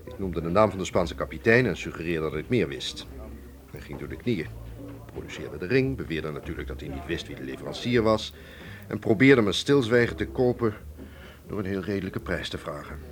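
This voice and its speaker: A man's voice: sits low, animated, fairly quick. An actor in a Dutch radio play playing a shrewd, composed Russian museum man who speaks formally and persuasively. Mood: measured, confident.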